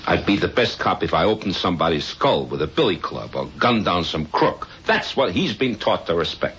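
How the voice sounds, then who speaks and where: An actor playing a patient, weary policeman in his fifties in a radio drama, plain-spoken, steady and resigned. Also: deep voice, normal speed, breathy edge, full character voice. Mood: dejected, resigned. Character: patient, weary veteran neighborhood policeman near retirement. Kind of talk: old-time radio drama acting. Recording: dull, noisy old radio recording.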